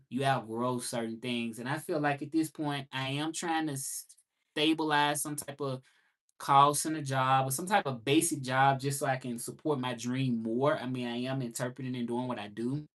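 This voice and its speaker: Southern guy in his 30s thinking out loud on a live stream, says stuff twice to drive it home. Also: mid-range voice. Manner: reflective, repeats key phrases for emphasis. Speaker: livestream host. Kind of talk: casual live monologue.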